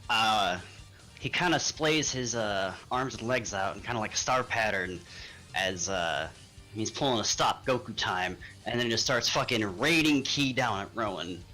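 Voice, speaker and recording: A man's voice: lowish voice, animated, measured pace, sharp tone, rough recording. A man talking casually and informally as a player in a tabletop game. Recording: music playing behind the voice. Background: music.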